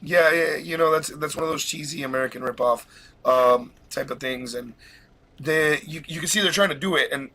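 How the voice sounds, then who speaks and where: A man hosting a casual live podcast, talking excitedly and rambling with frequent laughs while fighting a cold. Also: fairly high pitch, moderate pace. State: has a cold. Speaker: podcast host.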